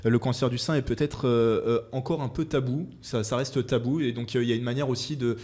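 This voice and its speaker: A man hosting a local radio interview, reading a smooth introduction and asking questions with some hesitation. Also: medium pitch, fairly even intonation, brisk, a little mellow. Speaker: radio host. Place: radio studio.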